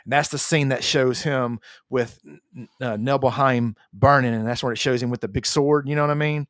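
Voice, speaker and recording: A man's voice: mid-range voice, steady tone, brisk, no echo, clean studio sound. A man co-hosting a gaming podcast, talking in a loose, slangy, repetitive way as he chats casually. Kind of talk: casual chat, counting down favorites.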